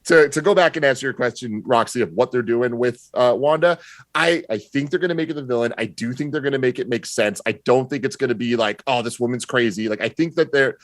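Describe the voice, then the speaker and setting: A man speaks in a very dry, close recording at a medium pitch. He is a podcast guest giving casual analysis, reasoning through his opinions in a measured, explanatory way. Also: dry recording.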